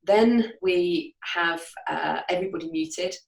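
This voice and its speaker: A woman's voice: lowish voice, unhurried, vocal fry. A woman, a guest describing her events in a casual video-call chat, enthusiastic and laughing often. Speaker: guest, networking event organizer. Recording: roomy echo, distant from mic.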